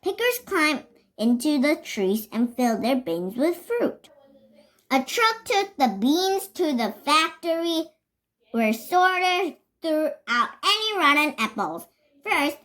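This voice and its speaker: High-pitched young reader, animated and unhurried. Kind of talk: reading a children's picture book aloud.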